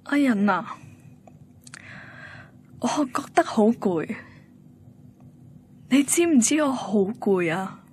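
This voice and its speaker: A fairly high female voice plays a young Hong Kong girlfriend in a Cantonese radio drama. She is guarded and defensive, a reluctant woman who is tired of being pushed toward commitment. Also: lively intonation, slow, long pauses, darkish tone, slight background noise. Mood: weary, sad. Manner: evasive, defensive.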